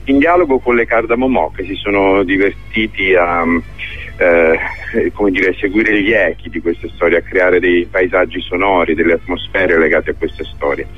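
A man's voice: sits low, moderate pace. A man speaking as a theatre director in a conversational radio interview, hesitant and searching for his words. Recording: thin phone-like radio line.

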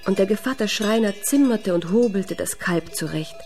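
A woman narrator telling a fairy tale with a measured storyteller delivery. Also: mid-range voice, animated, a little mellow, faint music behind.

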